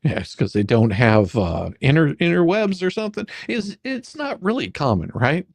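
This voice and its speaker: A performer doing a comedic dramatic reading as a rambling, halting, faux-folksy lawyer questioning a witness in a deposition. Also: mid-range voice, big pitch swings, gravelly, no room sound. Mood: mock sarcastic. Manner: halting, repetitive, mock-sarcastic, stumbling over questions.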